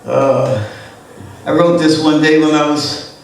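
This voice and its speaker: A middle-aged woman performing spoken-word poetry with a loud, emotional delivery, chatting between her pieces. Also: low-pitched, slow pace, a little hiss or hum. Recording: echoey room, distant mic.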